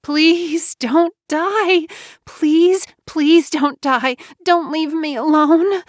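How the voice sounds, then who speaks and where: A woman's voice: sits high, very slow, no room sound, clean studio sound, pitched above the performer's own voice. A woman voicing a grieving young woman who pleads tearfully in a storybook reading. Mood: desperate pleading.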